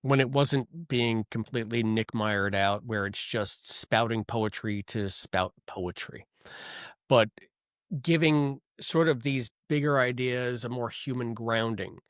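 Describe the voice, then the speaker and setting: A man's voice: medium pitch, a little slow, no room sound. Dry-voiced man co-hosting a podcast review. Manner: thoughtful, rambling, trails off with ums. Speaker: podcast co-host. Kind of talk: casual review chat.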